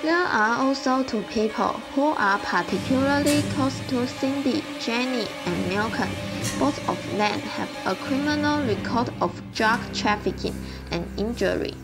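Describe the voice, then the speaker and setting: A woman's voice: a little high, lively intonation, unhurried, over loud music, low-quality recording. A woman playing an investigator reporting on suspects in an amateur student radio drama. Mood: matter-of-fact.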